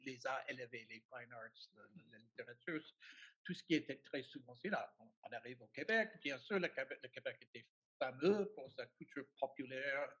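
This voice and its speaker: Anglo canadian guy speaking french on a panel, bit high voice, keeps hunting for words. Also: fairly bright tone, poor audio. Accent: English Canadian. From Ontario, Canada. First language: English. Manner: searching for words, self-correcting. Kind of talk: academic round-table discussion.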